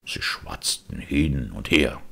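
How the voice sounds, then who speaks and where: A man's voice: rumbling low, animated, slow pace, creaky, a bit bright, slightly rough. A man narrating a children's audiobook expressively, dramatic and speaking straight to the listener. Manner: dramatic, addresses listeners directly.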